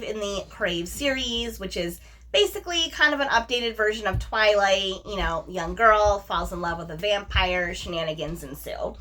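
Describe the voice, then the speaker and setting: A woman's voice: medium pitch, sharp tone. A woman talking casually as a vlog host, in an effusive, emphatic, informal way with slangy asides. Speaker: book review vlogger, host. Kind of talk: casual book chat to camera.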